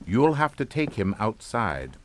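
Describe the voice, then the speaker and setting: An actor playing a calm, menacing middle-aged father in a suspense radio drama, with clipped, authoritative delivery. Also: lowish voice, measured pace. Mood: commanding. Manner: clipped, authoritative, matter-of-fact.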